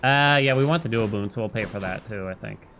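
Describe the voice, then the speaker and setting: A man's voice: on the low side, brisk, fairly bright tone. A man livestreaming a video game, musing aloud and chatting casually with his viewers in a conversational way. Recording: game music behind the voice.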